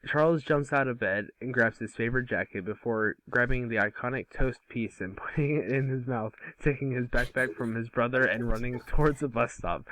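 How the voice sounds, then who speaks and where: A man's voice: medium pitch, a bit bright, low-quality recording. A man reading scripted scene narration informally at an amateur table read. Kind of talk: scripted narration of stage directions.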